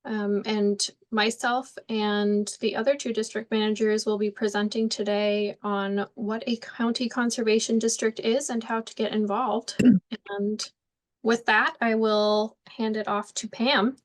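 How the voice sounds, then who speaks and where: A woman who manages a county conservation district, giving a presentation over a video call. Her voice sits at a mid pitch, and she speaks in a conversational way with frequent ums. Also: level tone, a little slow. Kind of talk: presentation to an online audience.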